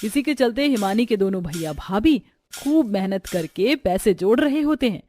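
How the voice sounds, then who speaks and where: A woman's voice: medium pitch, lively intonation, normal speed, mellow, slight background noise. A woman reading scripted narration of a family drama as a storyteller.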